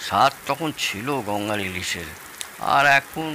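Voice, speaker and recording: A man's voice: lowish voice, animated, a little slow, pushed and bright, slight background noise. An actor playing a genial, proud older father-in-law, teasing and telling stories in an audio drama. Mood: teasing, assured. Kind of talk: Bengali audio drama dialogue.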